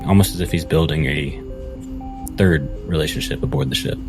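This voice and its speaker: Male tabletop player describing his character's actions, extremely low voice, deliberate phrasing, music bed clearly underneath. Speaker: tabletop role-playing player. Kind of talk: narrating own character's actions in a tabletop game.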